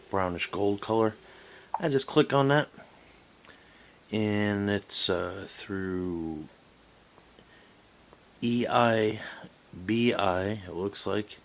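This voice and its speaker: A man's voice: sits low, slow, long pauses. A man giving a casual hands-on demonstration as a hobbyist, rambling and hesitant as he thinks aloud into a camera mic. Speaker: amateur reviewer and radio hobbyist. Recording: hissy amateur camera recording.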